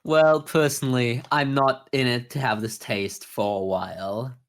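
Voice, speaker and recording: A man co-hosting a podcast, talking through his views in a measured, explanatory way in a casual review discussion. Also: mid-range voice, steady tone. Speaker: podcast co-host.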